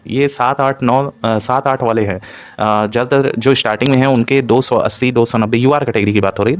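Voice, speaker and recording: Man, brisk delivery, mid-pitched voice, coaching lecture style, repetitive explanations, code-mixed English words. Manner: Hindi mixed with frequent English terms. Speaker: exam coaching instructor. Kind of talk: informal exam analysis lecture.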